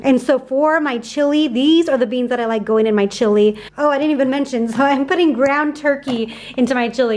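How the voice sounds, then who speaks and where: Chatty upbeat woman vlogger, slightly high. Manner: chatty, upbeat, lots of fillers. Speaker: grocery haul vlogger. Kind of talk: casual voice-over chat.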